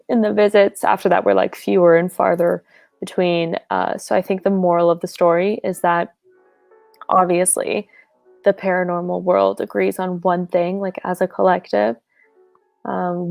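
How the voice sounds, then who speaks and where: Heavily gravelly woman telling a story. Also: medium pitch, a little mellow, no echo. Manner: rambling, lots of "like". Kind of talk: casual comic storytelling.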